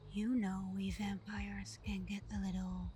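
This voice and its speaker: A woman playing a caring, hurt vampire girlfriend in an ASMR roleplay, speaking softly and tenderly, often half whispering, with hesitant pauses. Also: medium pitch, flat delivery, slight background noise, close to an everyday voice. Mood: worried, hurt. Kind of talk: ASMR roleplay, intimate scripted acting.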